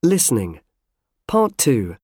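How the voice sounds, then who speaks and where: A man announcing the instructions of a listening exam in a formal, measured way. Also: medium pitch, huge pitch swings, a little mellow, no echo, studio quality.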